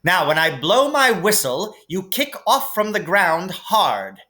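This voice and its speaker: A man voicing a strict, brisk woman flying instructor who barks orders, in a children's storybook reading. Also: sits high, very animated, sharp tone, voice pushed up, exaggerated voice. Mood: commanding. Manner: commanding, barking orders.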